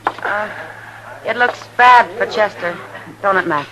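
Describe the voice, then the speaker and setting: A woman's voice: medium pitch, takes it slowly, lightly acted. An American actress playing a worldly, loyal saloon woman in a 1950s radio Western, talking in a frank and familiar way. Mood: frank, concerned. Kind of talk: 1950s radio drama acting. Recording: noisy vintage 1950s radio recording.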